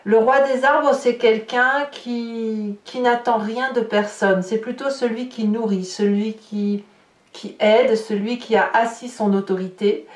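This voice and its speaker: Woman, slightly high voice, tarot guidance monologue, halting delivery with restarts, echoey room. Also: fairly even intonation. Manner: halting, searching for words with repeated restarts. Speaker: tarot card reader. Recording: roomy echo, distant from the mic.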